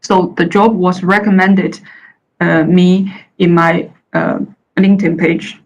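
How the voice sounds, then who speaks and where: Woman from china, chinese her first language, a bit low-voiced, halting presentation delivery. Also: flat delivery, lo-fi sound. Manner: hesitant, many uh fillers and restarts. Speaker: panel speaker. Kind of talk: presentation talk. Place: the Netherlands.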